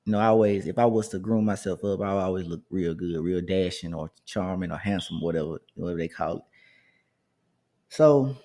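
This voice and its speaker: A man in his thirties with a lowish, dark and mellow voice tells a story from his own life. He talks casually and loosely, restarting sentences and throwing in asides to the audience. It feels like relaxed conversational storytelling. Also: fairly quick. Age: 30s.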